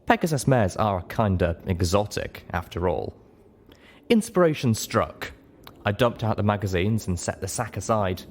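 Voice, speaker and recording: Low-pitched man narrating a story. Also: lively intonation, moderate pace. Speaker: audiobook reader. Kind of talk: dramatic first-person story narration.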